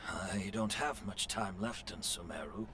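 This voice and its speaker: An actor playing a gentle elderly master in a video game, calm and wistful. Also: male voice, on the low side, unhurried, slight background noise. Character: elderly gentle male master who tends plants.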